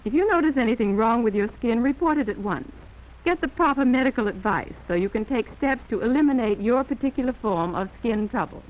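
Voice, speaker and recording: Tinny vintage film recording, high-voiced American woman narrator. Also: lively intonation, a little fast. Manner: formal, instructive delivery. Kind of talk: scripted 1940s instructional film narration. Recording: muffled, tinny 1940s film soundtrack.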